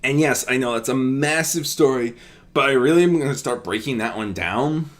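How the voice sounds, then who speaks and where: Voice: mid-range voice, moderate pace. An amateur storyteller reading fiction expressively and chatting in casual asides.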